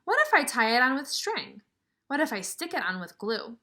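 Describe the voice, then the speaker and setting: A childlike young elephant god from a picture book, acted out by a woman. He is proud and easily upset. The voice is high and bright, with lively, expressive swings. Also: normal speed, full character voice. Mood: hopeful problem-solving. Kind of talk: storybook read-aloud for young children.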